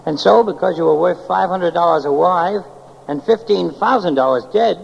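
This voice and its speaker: An actor playing a wry, insistent guardian angel in 1940s radio drama style, theatrical and challenging. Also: male voice, high voice, moderate pace, vocal fry. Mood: wry, knowing. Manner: theatrical, challenging, repeats for emphasis. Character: wry, insistent male guardian angel goading his charge. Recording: muffled, noisy old 1940s radio recording.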